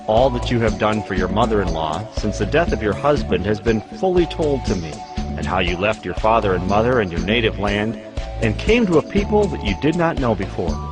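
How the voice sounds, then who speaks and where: Kindly male landowner, scripture drama: gentle authority, animated melody in the voice, score behind. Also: on the low side, fairly quick, music in the background. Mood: solemn blessing.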